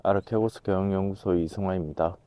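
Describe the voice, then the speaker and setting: A man with a voice hoarse from a cold, giving a measured, reflective talk to camera, pausing to think as he explains. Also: low voice, steady tone, no room sound, highs cut off. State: has a cold. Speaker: host, management consultant lecturer.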